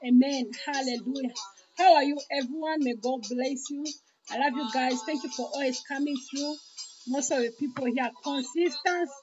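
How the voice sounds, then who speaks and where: A high-pitched woman with a Zambian accent speaks as an online preacher. She addresses her viewers informally and devoutly, circling back over her phrases and dropping in blessings. The livestream sounds dull and lo-fi, with clear music behind her. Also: normal speed.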